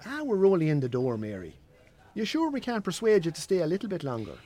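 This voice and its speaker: Jovial, high-pitched Irish pub-goer. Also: male voice, huge pitch swings, fast, mellow, heavily acted. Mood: jovial, teasing. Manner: chatty, jovial. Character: breezy, sociable Irish pub acquaintance. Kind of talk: naturalistic radio drama acting.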